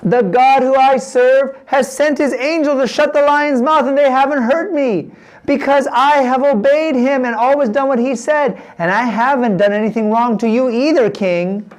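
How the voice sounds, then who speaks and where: A woman voicing a calm, earnest, faithful young man in a children's Bible story. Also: high voice, moderate pace, pitched above the performer's own voice, natural voice, poor audio.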